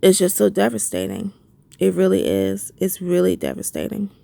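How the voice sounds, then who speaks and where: Stuffy, gravelly woman ranting. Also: mid-range voice, big pitch swings, moderate pace, warm tone, no echo. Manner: heated, repetitive, rhetorical questions. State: stuffy from a cold. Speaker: vlogger. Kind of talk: casual ranting commentary.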